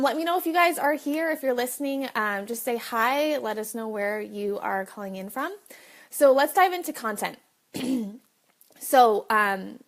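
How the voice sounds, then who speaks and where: A woman hosting a casual live video, chatting directly to viewers, with a slight cough. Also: fairly high pitch. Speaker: livestream host.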